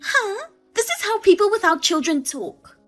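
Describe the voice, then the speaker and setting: A woman voicing a demanding, scornful sister-in-law who accuses and mocks loudly. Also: very high voice, very animated, normal speed, faint music behind, pitched above the performer's own voice. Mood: indignant. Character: shrill, demanding female sister-in-law, a mother.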